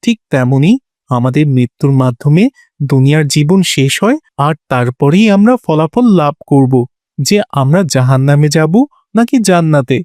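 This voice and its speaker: A man voicing a calm, pious older boy who explains faith in a measured, instructive way for a cartoon. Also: on the high side, dry recording, clean studio sound, lightly acted. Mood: patient explaining. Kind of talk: didactic Islamic cartoon dialogue.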